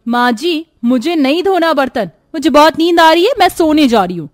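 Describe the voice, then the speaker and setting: A woman's voice: sits high, expressive, moderate pace, no echo, studio quality, soft background music, pitched above the performer's own voice. A woman voicing an arrogant, rude, rich young daughter-in-law in a moral cartoon story. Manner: haughty, scornful.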